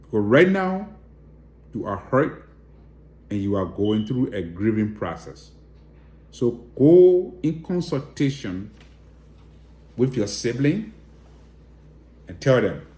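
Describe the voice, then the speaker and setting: A man's voice: medium pitch, big pitch swings, slow pace, pauses often, darkish tone, reverberant. A man with a Liberian accent giving direct, emphatic political commentary and appealing to viewers. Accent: Liberian English.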